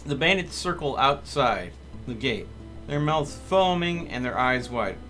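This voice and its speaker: Animated man commentating gameplay over music. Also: mid-range voice, measured pace. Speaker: gaming streamer. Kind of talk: casual live gameplay commentary, reading game text aloud. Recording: music behind the voice. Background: game music.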